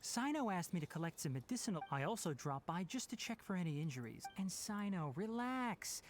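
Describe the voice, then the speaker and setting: Young healer-scholar for a game, light-voiced, gently teasing, lively pitch movement. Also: male voice, a little fast, a little hiss or hum. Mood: caring, wry. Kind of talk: video game story dialogue.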